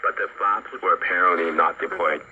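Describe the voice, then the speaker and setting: A man commenting as an aviation expert, explaining slowly. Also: sits low, steady tone, a crowd in the background. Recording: muffled radio broadcast with noise.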